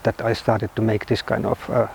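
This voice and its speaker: A man from Finland, a sculptor, speaking in a documentary interview in halting, simple phrases with many pauses. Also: on the low side, completely flat delivery, gravelly, darkish tone, a little hiss or hum. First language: Finnish.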